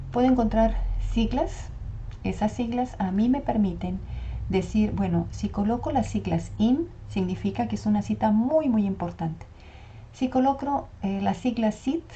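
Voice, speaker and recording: Dark-voiced woman giving a methodical lecture. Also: mid-range voice. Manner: methodical, explains step by step, asks and answers her own questions. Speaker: university lecturer. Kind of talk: online lecture with slides. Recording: dull lo-fi audio.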